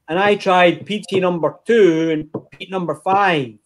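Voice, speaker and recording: A man's voice: sits high, measured pace. A man hosting an online whisky tasting over a video call, talking in a chatty, easygoing way. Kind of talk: casual chat on a video call.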